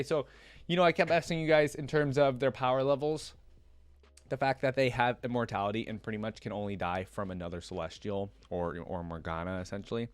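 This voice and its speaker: A man's voice: on the low side, no echo. A man talking casually and enthusiastically as a reaction video host, commenting and reading text aloud.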